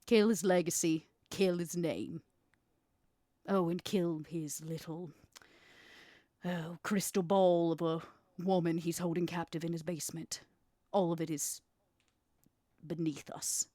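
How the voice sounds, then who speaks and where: A woman playing an imperious, ruthless female vampire schemer in a tabletop roleplay, speaking deliberately with dramatic pauses. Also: fairly low pitch, fairly even intonation, mellow, dry recording, studio quality, lightly acted. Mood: contemptuous, vengeful. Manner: deliberate, dramatic pauses, stressed key words. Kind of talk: in-character tabletop roleplay acting.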